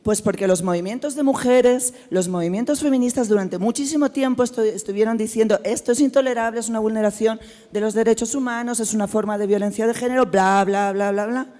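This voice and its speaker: A hoarse woman from Spain with a Spanish accent, speaking with passion at a forum and asking repeated rhetorical questions. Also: fairly high pitch, fairly quick, warm tone. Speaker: forum participant. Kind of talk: spoken remarks at a panel forum. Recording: hall reverb in a large room.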